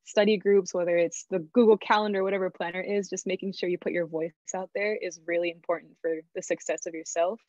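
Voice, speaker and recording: A young woman from Oregon, a recent graduate and orientation leader, talking in a chatty, animated way. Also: lowish voice, fast talker, hardly any pauses, dry recording, dull audio. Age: young adult. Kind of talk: casual panel advice.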